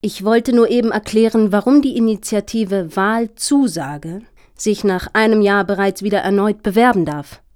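An actress playing an earnest, officious lobbyist in a satirical radio play, talking in formal, deliberate, speech-like sentences. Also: female voice, medium pitch, darkish tone, natural voice. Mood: explanatory. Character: earnest, officious female lobbyist pitching for funding.

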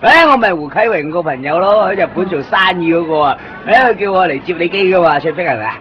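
A loud chatty pushy man. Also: sits high, fast, muffled recording. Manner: talkative, jumps between loud excitement and muttering. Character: chatty Hong Kong man in Japan, pushy and jokey. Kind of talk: 1990s radio drama acting.

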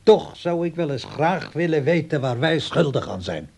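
An actor playing a lonely, brooding old widowed father in a radio play, with a slow, halting, musing way of talking. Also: fairly high pitch, animated, gravelly, slightly rough, exaggerated voice. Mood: grumbling. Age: older.

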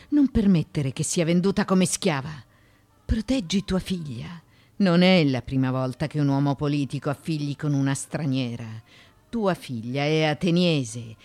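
A proud, defiant courtesan of ancient Athens in a radio play. Her delivery is markedly slow and deliberate. She declaims her monologue theatrically. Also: deep voice, a little mellow. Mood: urgent, pleading. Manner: deliberate, declamatory, with sudden outbursts.

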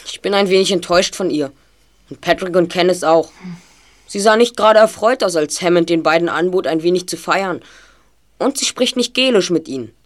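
A woman's voice: on the low side, a little fast, close to an everyday voice. Earnest, precise boy detective played by a woman. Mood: serious, puzzled. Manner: precise, inquisitive. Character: earnest, analytical teenage boy detective leader. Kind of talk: audio drama acting.

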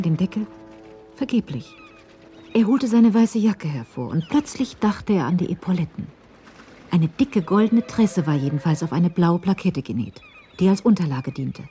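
A woman narrating a literary crime story in a radio drama, in a measured storytelling manner. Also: medium pitch, lively intonation, warm tone, faint music behind, close to an everyday voice. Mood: measured, suspenseful.

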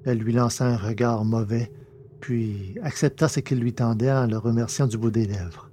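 A man narrating a science fiction adventure in a measured, dramatic storytelling manner. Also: medium pitch, steady tone, dark tone, a little hiss or hum. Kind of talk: scripted audiobook narration.

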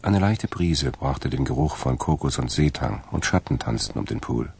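An actor playing the dry, detached narrator of a radio crime story, reading scripted narration in a gravelly voice. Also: male voice, very deep voice, expressive, normal speed, darkish tone, dry recording, full character voice.

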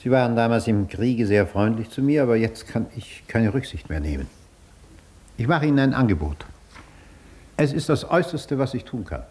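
A man acting a suave, sardonic gentleman interrogator in a German radio drama, polite on the surface while probing and mocking. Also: mid-range voice, lively intonation, normal speed, close to an everyday voice. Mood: cold, mocking.

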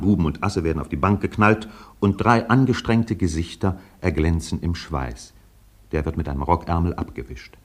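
Low-voiced brooding guy narrating a radio play, solemn and deliberate, kinda melancholy.

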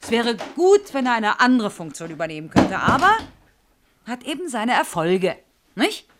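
A mayor's worried wife in a 1970s radio drama. Her fairly high voice rises and falls dramatically as she explains things plaintively, with protective exasperation. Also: very animated, moderate pace, very noisy. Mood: worried, frank. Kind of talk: 1970s East German radio drama acting.

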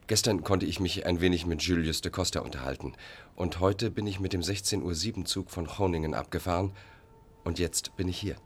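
An actor in a German radio play playing an obsessed, insistent, formally spoken man with a husky voice. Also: low voice. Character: obsessed, tightly wound male visitor, insistent and volatile.

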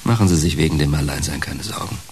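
Radio play role: world-worn older man, deep creaky voice, blunt delivery, slightly hissy recording. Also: fast talker. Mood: resigned. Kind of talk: naturalistic German radio play acting.

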